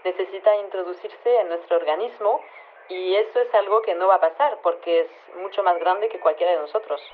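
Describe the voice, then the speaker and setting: An actress playing a casual, deadpan scientist interviewed over the phone in a radio fiction, explaining things a little hesitantly. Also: female voice, on the low side, brisk. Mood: matter-of-fact. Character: apologetic, matter-of-fact woman scientist calling a radio show. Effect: phone line filter. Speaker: interviewed virology expert. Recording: tinny narrow phone line.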